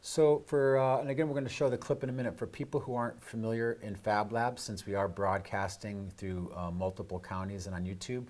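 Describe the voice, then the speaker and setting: A man hosting a casual live interview, speaking in a halting, loosely improvised way. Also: on the low side, flat delivery, a little mellow. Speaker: show host.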